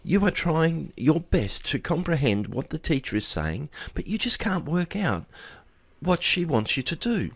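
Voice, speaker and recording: A man's voice: a little high, big pitch swings, measured pace, gravelly, breathy edge, dry recording. A man reading a scripted article aloud, sometimes stumbling over a word and rereading it. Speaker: article reader.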